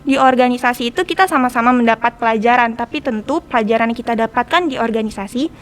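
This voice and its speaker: A woman's voice: fairly high pitch, fast talker. A teenage young woman with a Jakarta Indonesian accent, explaining things colloquially as a guest in a casual interview. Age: teens. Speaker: podcast guest, student organization leader. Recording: noticeable background noise.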